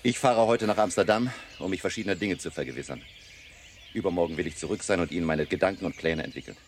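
A man's voice: sits low, fast talker, a little hiss or hum. A man playing a grave, commanding vampire hunter and mentor in a German radio drama, solemn and emphatic. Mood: grave, determined.

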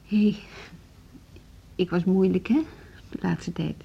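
An actress playing a hesitant, earnest woman music teacher in a naturalistic radio drama, speaking haltingly. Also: lowish voice, fairly even intonation, gravelly, breathy edge, lo-fi sound, full character voice. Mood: intimate, subdued.

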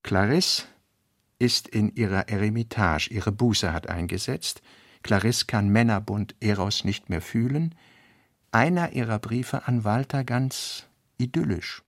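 Guy narrating a radio play, creaky voice, very dry recording. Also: lowish voice, measured pace, dry recording. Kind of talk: scripted literary reading in radio drama.

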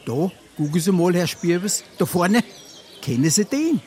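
An actor playing a wily, penniless sponger in a Darmstadt dialect stage comedy, wheedling with theatrical mock courtesy. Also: male voice, sits high, very animated, measured pace, darkish tone. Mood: sly, chatty. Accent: Hessian, Darmstadt dialect. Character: wily, penniless sponger, a glib charming idler.

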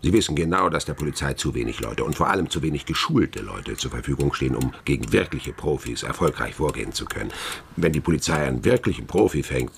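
An actor playing a shrewd, weary older police inspector in a German radio crime drama, gravelly, speaking in a dry, deliberate, lecturing way. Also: male voice, very deep voice, animated, a little hiss or hum, full character voice.

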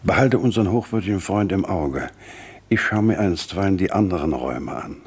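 A man playing a composed, dryly skeptical gentleman investigator in a German radio drama, terse and understated, with a low husky voice. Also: expressive, brisk. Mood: calm, measured.

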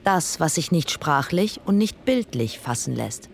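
Philosophising female chorus voice, aphoristic, gravelly, animated intonation, experimental radio drama. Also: deep voice, measured pace, a little hiss or hum, full character voice. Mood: pointed. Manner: aphoristic, pointed. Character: philosophising woman in a cryptic radio-play chorus.

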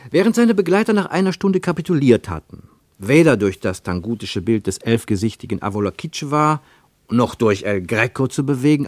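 An actor as the narrator of a literary radio travel report, with formal diction and an emphatic, measured way of telling. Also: male voice, medium pitch, no room sound, lightly acted.

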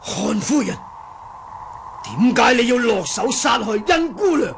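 Forceful older swordsman, raised pitch. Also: lively intonation, measured pace, creaky, exaggerated voice. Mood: angry, shocked. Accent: Hong Kong Cantonese. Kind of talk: Cantonese radio drama acting. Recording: noisy radio drama sound effects.

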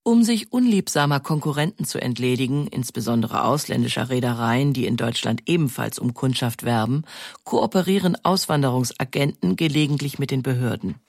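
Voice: medium pitch, brisk, gravelly, no echo. A documentary narrator reading a scripted historical account.

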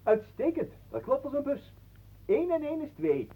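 An actor playing a jovial, intrusive talker in a radio play, flowery, pompous and mock-courteous. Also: male voice, very high-pitched, big pitch swings, normal speed, dark tone. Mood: jovial, showy. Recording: muffled, phone-like old radio recording.

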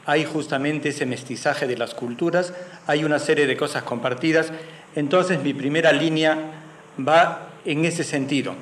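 A man's voice: a little high, steady tone, moderate pace. A man giving reflective academic commentary as an anthropologist. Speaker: anthropologist and historian, priest. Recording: roomy echo, faint background noise.

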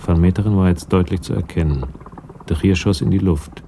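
Male radio drama narrator, very low, creaky and mellow, telling a crime story. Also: a little fast, a little hiss or hum.

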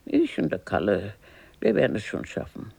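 An actress in a radio play playing a plucky, brusque but warm-hearted old grandmother, raspy and lively, muttering and exclaiming. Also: rumbling low, moderate pace, full character voice. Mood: pensive, determined. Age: older.

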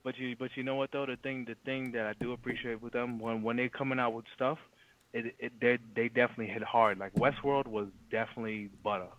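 A man's voice: medium pitch, fairly even intonation, fast, a bit bright, muffled recording. A man chatting casually about TV shows in slang, repeating words in bursts.

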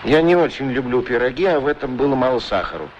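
A man acts a lonely, fretful shoeshine man of middle age in a 1960s radio drama. His mid-pitched voice rises and falls widely, moving between grumbling and wistful longing. The old recording carries some hiss. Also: expressive, fast talker, dull audio, natural voice. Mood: grumbling, defensive. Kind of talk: radio play acting.

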